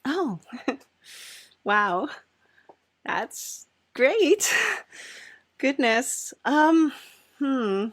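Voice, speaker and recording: Female podcast host, fairly high voice, unhurried and chatty, playful comedy interviewing, close dry sound. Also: lively intonation, no echo. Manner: halting, giggly, chatty.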